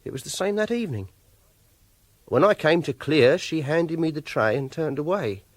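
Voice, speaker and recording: A man's voice: a little high, expressive, moderate pace, no room sound. An actor playing an awkward, obsessive young captor in a radio drama, hesitant and insistent in his speech. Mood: quiet, brooding. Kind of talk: radio drama acting with confessional narration.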